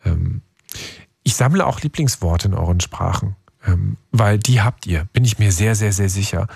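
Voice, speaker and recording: A man's voice: fairly low pitch, expressive, moderate pace, vocal fry, darkish tone, slightly rough, no room sound. A young man hosting a radio call-in show, chatting informally to listeners in youthful slang sprinkled with English words. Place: dry radio studio.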